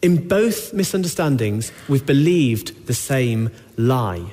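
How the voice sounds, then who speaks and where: A man preaching a sermon to his church, with a sore throat, speaking in an earnest, measured way that drops low on key lines. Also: lively intonation, mellow, in a large hall. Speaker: pastor. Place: church hall.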